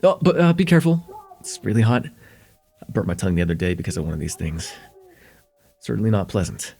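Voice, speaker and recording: Man acting as a gravelly, easygoing young guy chatting. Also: on the low side, lively intonation, brisk, darkish tone, soft background music, full character voice. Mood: fond, flustered. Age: young adult. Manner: hesitant, rambling, chuckling, easygoing. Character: friendly, forgetful young man hosting a close friend at home. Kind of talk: slice-of-life ASMR roleplay, casual intimate chat to listener.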